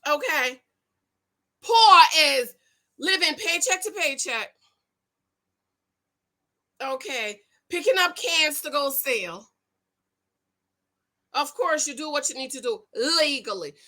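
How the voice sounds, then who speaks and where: A woman with a high voice that rings bright and sharp. She talks loud and excitable, her voice carrying, and she preaches in an animated way with lots of emphatic repetition. She reads a news story aloud and comments on it as she goes. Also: takes it slowly, pauses often. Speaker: YouTube commentator on money and marriage. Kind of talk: casual commentary reading and reacting to a news story.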